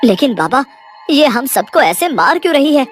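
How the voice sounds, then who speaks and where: A woman's voice: sits high, big pitch swings, moderate pace, soft background music. A woman voicing a worried, grumbling village man in a cartoon horror story, with a plaintive manner. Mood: frightened.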